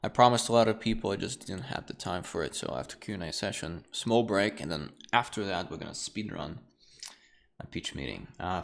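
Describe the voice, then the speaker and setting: A young man whose first language is Russian gives a casual, chatty art lecture on a livestream. He often laughs and talks loosely. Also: fairly low pitch. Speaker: art lecturer streaming a class.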